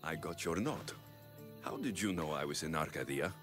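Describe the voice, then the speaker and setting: An actor playing a wry, confident young Greek mercenary hero in a video game, with a dry, teasing delivery. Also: male voice, very deep voice, lively intonation, normal speed, over music. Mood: teasing, fond. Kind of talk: video game cutscene dialogue.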